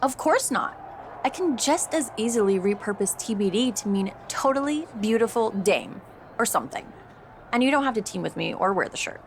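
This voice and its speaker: A woman's voice: on the high side, big pitch swings, normal speed, slight background noise. A woman playing a vain, self-absorbed young pro wrestler in a video game, breezy and gushing with a sugary passive-aggressive edge. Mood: breezy, smug. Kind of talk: video game cutscene acting.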